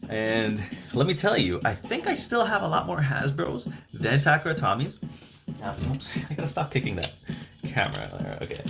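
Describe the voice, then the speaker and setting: A man's voice: medium pitch, sing-song, moderate pace, vocal fry. A middle-aged man from El Salvador, hosting a casual livestream Q&A. He rambles in a chatty way and often restarts his words. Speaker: YouTube channel host. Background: music playing.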